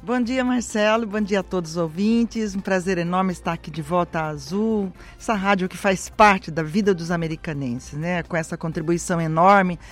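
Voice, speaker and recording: Brazilian woman, 60s, veteran educator, emphatic and warm, faint music behind. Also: on the low side, normal speed, few pauses. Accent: Brazilian Portuguese. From central-west Brazil. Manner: warm, emphatic, speaks with conviction. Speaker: retired teacher and former councilwoman. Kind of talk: live radio interview guest.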